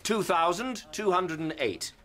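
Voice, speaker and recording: Lively-toned proud ship's officer. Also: male voice, sits high, unhurried, heavily acted. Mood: proud, businesslike. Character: proud, matter-of-fact 1912 ocean liner officer. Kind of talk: radio drama acting.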